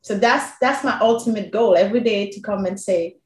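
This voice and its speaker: A woman with a non-native English accent, a working mother telling her own story as a podcast guest, animated and quick to laugh. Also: medium pitch, echoey room. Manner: animated, laughs often, quotes herself. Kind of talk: candid personal storytelling.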